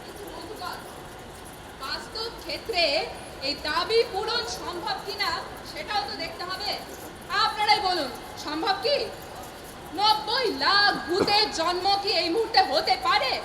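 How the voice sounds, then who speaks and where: A woman playing a shrill, high-pitched scheming politician. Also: a little slow. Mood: declamatory. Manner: declamatory, wheedling, rabble-rousing. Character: scheming, grasping female political leader among ghosts. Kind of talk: stage comedy acting. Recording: echoey noisy stage with crowd.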